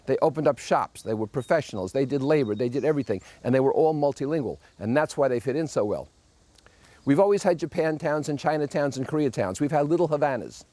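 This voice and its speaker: A man's voice: on the high side, mellow, dry recording. An older man from New Jersey with a New York accent, giving an emphatic, opinionated monologue with wry asides as a podcast host. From New Jersey, United States.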